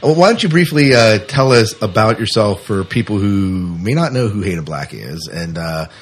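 A man's voice: lowish voice, expressive, moderate pace, breathy edge. A man chatting casually as a podcast co-host and interviewer.

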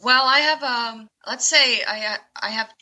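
A woman's voice: on the high side, a little slow, sharp tone. A woman, a voice actor and presentation coach, answering interview questions in a chatty way, laughing often. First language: Finnish and English.

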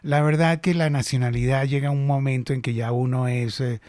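A man's voice: mid-range voice, fairly even intonation, a little fast, a little mellow, no room sound. A middle-aged Venezuelan man hosting a casual industry chat, talking animatedly with many restarts. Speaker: host.

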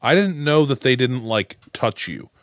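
Slangy man chatting, medium pitch, dry recording. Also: animated, moderate pace, fairly bright tone, no echo. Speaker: podcast co-host. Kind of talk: casual chat.

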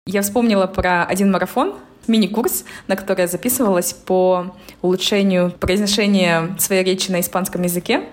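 A woman co-hosting a casual podcast, lively and decisive, quick to laugh. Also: mid-range voice. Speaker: podcast co-host.

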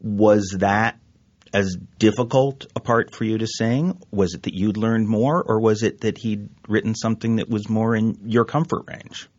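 Guy interviewer, slightly low voice, dry muffled old recording. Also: fairly even intonation, normal speed, a little mellow, no echo. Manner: thoughtful probing questions. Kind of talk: archival interview. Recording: dull archival recording.

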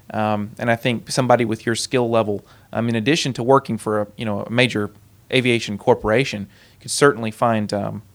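A man speaking as an interview guest, measured and hesitant with many ums and uhs. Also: mid-range voice. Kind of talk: conversational interview.

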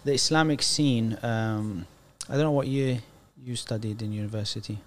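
A man in his forties, born in Iraq and raised in Britain, talks as an interview guest at a middling pitch. He speaks casually and hesitantly, full of fillers and restarts. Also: normal speed.

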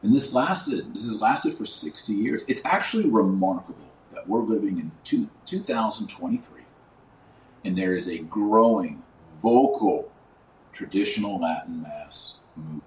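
A middle-aged man giving informal religious commentary as a podcast host, speaking emphatically with pauses and drawn-out key words. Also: lowish voice, expressive, darkish tone. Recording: roomy echo, distant mic, faint noise.

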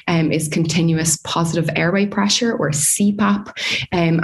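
A woman from Dublin with an Irish accent, a researcher explaining her field in a measured way with frequent fillers. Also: low-pitched. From Ireland. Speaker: speech pathology researcher. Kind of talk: academic discussion, explaining.

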